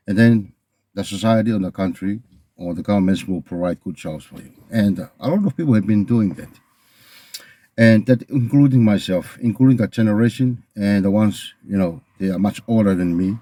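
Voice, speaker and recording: A middle-aged man with a Tongan accent speaking in an earnest, halting, reflective unscripted monologue as a video host, often repeating phrases. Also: lowish voice, mellow, dry recording.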